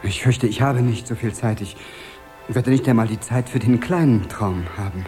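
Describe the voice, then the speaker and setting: An actor in a 1970s radio drama playing a timid, anxious office clerk, hesitant and apologetic. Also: male voice, medium pitch, normal speed, darkish tone, faint music behind, close to an everyday voice. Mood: regretful. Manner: hesitant, polite, stammering apologies. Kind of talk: 1970s German-language radio drama acting.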